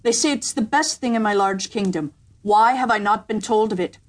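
A woman's voice: medium pitch, moderate pace, close to an everyday voice. A woman voicing a proud, commanding emperor in a storybook reading, declaiming imperiously.

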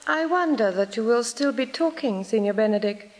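An actress playing a sharp-tongued, scornful young lady in articulate Shakespearean stage style. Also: on the high side, expressive, normal speed, long reverb tail, close to an everyday voice. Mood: scornful, teasing. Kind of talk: theatrical Shakespearean stage acting.

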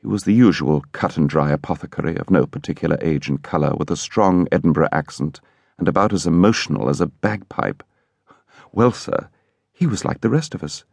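Actor as an animated English gentleman storyteller, swooping pitch. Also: vocal fry, no room sound, studio quality, exaggerated voice. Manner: vivid, dramatic, emphatic. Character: animated English gentleman recounting a horrible street incident, indignant. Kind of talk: audiobook character storytelling.

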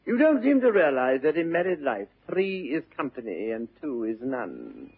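Glib English society bachelor, airy theatrical wit, very animated pitch, thin old radio recording. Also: male voice, moderate pace, breathy edge, heavily acted. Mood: flippant. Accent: upper-class English. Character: flippant, witty upper-class young bachelor nephew. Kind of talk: classic stage comedy radio acting. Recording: muffled vintage narrow-band radio recording.

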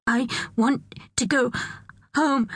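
A woman acts out a young storybook girl, small and fiercely upset. The voice jumps up high and protests tearfully, with defiance and a slightly husky edge, recorded close and dry. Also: fairly even intonation, slow, no room sound, heavily acted. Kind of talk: acted children's audiobook dialogue.

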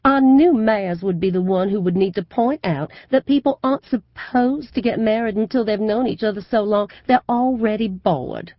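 A woman's voice: mid-range voice, expressive, normal speed, few pauses, no echo, highs cut off, voice pushed up, lightly acted. A woman voicing a flamboyant older Southern fortune-teller mother who drawls, wheedles and plays to the crowd. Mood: teasing.

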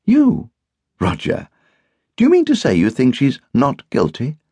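A man voicing a brisk, opinionated English wife and hostess in an audiobook, with an arch, emphatic manner. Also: mid-range voice, wildly swooping pitch, a little mellow, slightly rough, no room sound, voice pushed up, heavily acted. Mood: incredulous.

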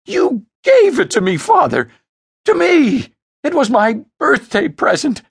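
A man voicing a spoiled, unhinged young prince who whines and shrieks in shrill tantrums. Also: wildly swooping pitch, slow, gravelly, husky, no room sound, studio quality, exaggerated voice. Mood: hysterical. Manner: shrill, screaming tantrums, stammering.

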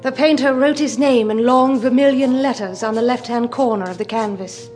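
A woman narrating a radio drama in a measured, descriptive way. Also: a little high, darkish tone, faint music behind. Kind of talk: scripted narration.

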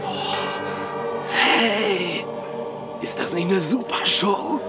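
A daring young ringleader talks brashly in a fairly high voice. He is cocky, pushy and dismissive, in horror audio drama style with music behind. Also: extremely slow. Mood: excited, urging. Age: young adult. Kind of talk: horror radio drama acting. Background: prominent music.

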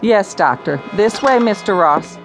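An actress playing a firm, by-the-book hospital nurse in a radio drama, clipped, businesslike and officially polite. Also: female voice, medium pitch, lively intonation, unhurried, soft background music, rough recording. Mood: firm, stern.

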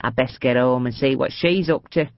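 A woman voicing a frail, chatty old northern lady with a high, quavering, mischievous voice in a storybook reading. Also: moderate pace, no room sound, dull audio. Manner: gossipy, cheeky, confiding.

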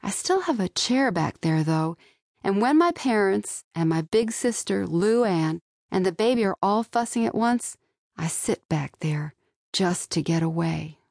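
A woman's voice: mid-range voice, lively intonation, unhurried, creaky, a little mellow, no room sound, clean studio sound. A woman reading scripted first-person narration from a young-adult novel as an audiobook narrator. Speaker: author narrating own audiobook. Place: dry studio.

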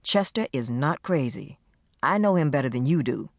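Steady, firm young woman, read by a female narrator, low voice, calm and direct, dry close recording. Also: normal speed, no echo, full character voice. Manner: firm, calming.

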